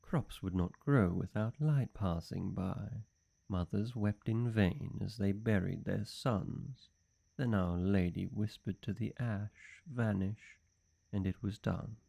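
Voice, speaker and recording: A man's voice: fairly low pitch, creaky, warm tone, breathy edge, dry recording, exaggerated voice. A man playing a suave, flirty demon servant, obsessively devoted, speaking formally and silkily in a scripted roleplay monologue. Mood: reverent reciting. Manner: unhurried, silky, fawning, formal.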